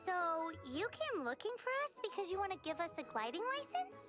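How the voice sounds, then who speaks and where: A woman's voice: very high-pitched, very animated, fairly quick, over music. A woman playing a tiny, childlike fairy companion in a video game, cheeky and easily bored. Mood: curious. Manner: speaks of herself in third person. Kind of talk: video game character dialogue.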